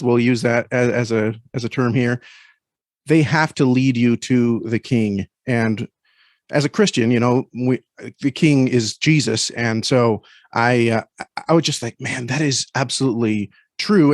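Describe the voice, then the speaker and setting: Guy guest chatting thoughtfully, kinda halting, mid pitch, really dry recording. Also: dry recording. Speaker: interview guest. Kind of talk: thoughtful casual conversation.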